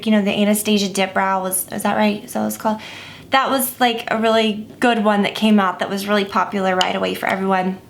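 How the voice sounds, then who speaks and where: A woman giving a casual makeup tutorial, chatty and rambling with many fillers, a bit stuffy and scratchy. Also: a little high. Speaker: makeup vlogger.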